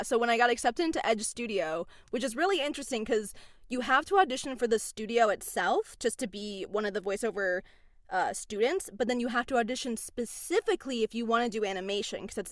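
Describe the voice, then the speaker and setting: Chatty young voice actress. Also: female voice, on the high side, no room sound, studio quality. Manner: chatty, animated, laughs between phrases. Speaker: voice actress guest. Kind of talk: casual interview answers.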